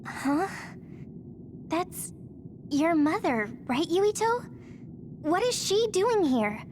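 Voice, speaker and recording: A woman voicing a soft, hesitant young girl in an anime-style video game, tentative in manner. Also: very high-pitched, big pitch swings, drawn-out words, noisy background, heavily acted. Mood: puzzled.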